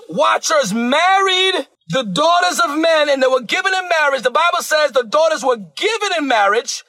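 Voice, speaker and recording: A male preacher delivering a fiery sermon, shouting with fervent, animated energy and asking and answering his own questions. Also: very high-pitched, rough recording.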